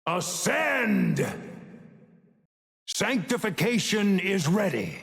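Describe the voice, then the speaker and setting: A man's voice: high-pitched, big pitch swings, extremely slow, gravelly, fairly bright tone, heavily acted. A man playing an ancient, imperious sage with divine power in a video game, speaking in stern, solemn, grandiose proclamations. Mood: commanding, menacing. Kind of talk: theatrical game voice lines. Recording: long cavernous reverb on the voice.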